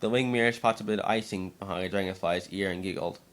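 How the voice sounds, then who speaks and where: A man's voice: deep voice, fairly even intonation, fast talker, fairly bright tone. A man narrating a scripted story as an amateur dramatic reading, sometimes stumbling over and repeating words.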